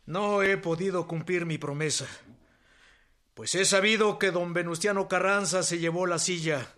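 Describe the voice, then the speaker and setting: A man playing a fiery revolutionary general, giving a halting, emphatic speech in a radio drama. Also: high voice, steady tone, fairly bright tone. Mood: mocking, emphatic.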